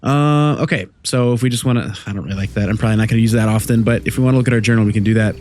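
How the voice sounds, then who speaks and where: A man's voice: fairly low pitch, rapid-fire, darkish tone, slight background noise. A young man with a stuffy voice from a cold, chatting loosely through a horror game as a gaming host. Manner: rambling, easygoing, chatty. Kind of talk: casual let's play commentary. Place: home room at night.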